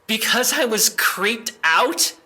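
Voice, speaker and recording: A man acting as a desperate young suspect in an audio drama. The voice is fairly high and very bright and cutting. He stammers and gets exasperated, always on the defensive. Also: lively intonation, slow, exaggerated voice. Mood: shaken, insistent.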